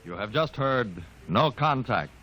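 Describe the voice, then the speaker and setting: Male announcer, crisp and formal, lively delivery over music on an old broadcast. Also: medium pitch, unhurried.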